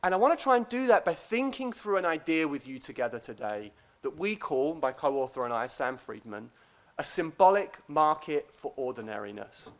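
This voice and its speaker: A man giving a conference lecture as a guest academic, speaking in a deliberate, hesitant, self-deprecating way. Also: fairly high pitch, animated.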